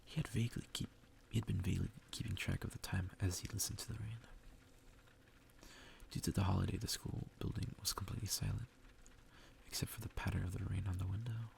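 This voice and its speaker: A man softly reading a story aloud as ASMR, often breathy and half-whispered, with a gravelly fry. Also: deep voice, little pitch movement, quick pace, slight background noise. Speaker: ASMR reader. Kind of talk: soft ASMR reading of a visual novel. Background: music.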